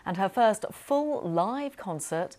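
A woman's voice: mid-range voice, expressive, takes it slowly, darkish tone. Woman presenting studio news.

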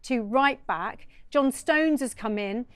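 A woman's voice: fairly high pitch, slow, clear, resonant tone, no echo. A woman presenting a live football show in an upbeat, chatty way. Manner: chatty, upbeat, laughs easily.

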